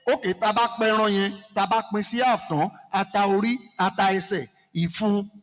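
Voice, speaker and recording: A Nigerian man with a Yoruba-speaking accent, addressing a seminar audience with animated, rhetorical questions. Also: high voice, brisk, big-room sound. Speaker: seminar participant speaking to the floor.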